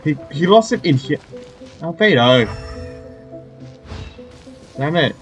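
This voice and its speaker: A man giving casual, halting gameplay commentary in short, offhand reactions. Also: on the high side, lively intonation, fairly bright tone, muffled recording. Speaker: gameplay streamer. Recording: faint game music behind.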